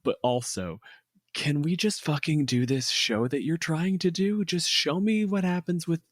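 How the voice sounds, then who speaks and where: A man's voice: a little high, measured pace, dry recording, studio quality. A man in a casual podcast chat, explaining his opinions in an opinionated way and swearing freely. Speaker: podcast host.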